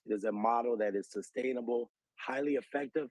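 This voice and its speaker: A man's voice: mid-range voice, a little fast, darkish tone, dry recording, low-quality recording. An American man giving earnest, articulate explanations as an interview guest, citing research and figures like an advocate.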